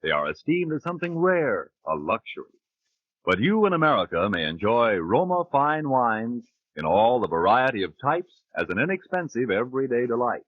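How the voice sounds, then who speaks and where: A man's voice: medium pitch, big pitch swings, moderate pace, no room sound. A man announcing a commercial in polished, emphatic 1940s radio style. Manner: polished, emphatic sales delivery. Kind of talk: 1940s radio advertising read. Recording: phone-like, dry 1940s radio recording.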